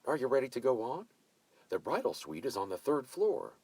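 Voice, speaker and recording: A man voicing a bossy, effusive mature woman who hosts a wedding party and gives orders briskly. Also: medium pitch, wildly swooping pitch, darkish tone, slightly rough, no echo, voice pushed up, exaggerated voice. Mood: enthusing. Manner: brisk, commanding, gushing.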